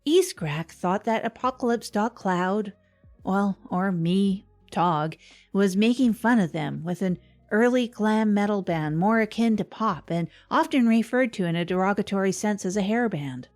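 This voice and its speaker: A woman's voice: medium pitch, animated, measured pace, darkish tone, lightly acted. A woman playing an earnest, confessional comedian host delivering a scripted comic monologue on a podcast. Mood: earnest, rueful.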